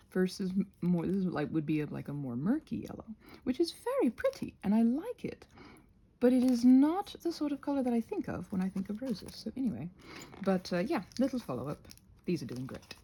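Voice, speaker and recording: Husky, lilting woman in a rambling craft chat. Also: fairly high pitch, mellow. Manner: halting and self-conscious, trailing off mid-thought, many asides. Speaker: ASMR craft video creator and host. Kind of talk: casual rambling craft chat to camera, ASMR.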